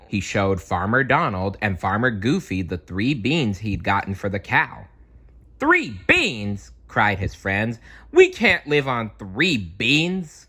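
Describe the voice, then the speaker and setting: A man reading a children's storybook aloud as an expressive storyteller, theatrical and deliberate in his delivery. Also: fairly low pitch, breathy edge.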